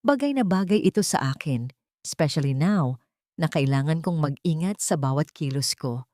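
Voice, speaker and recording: A woman's voice: sits low, expressive, moderate pace, warm tone, no echo, studio quality. A woman voicing a sly, smug younger mistress in an audio story, coy and condescending with false sweetness. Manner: coy, Taglish-mixing, condescending.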